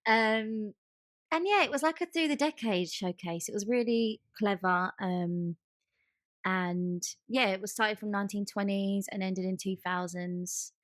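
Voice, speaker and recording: An English woman co-hosting a casual podcast chat. She tells stories in an animated, conversational way, slipping into quoted lines as she goes. Her voice sits at an ordinary female pitch and is recorded dry, with very little room sound. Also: measured pace, no room sound. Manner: animated, chatty storytelling with acted-out quotes. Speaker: podcast co-host.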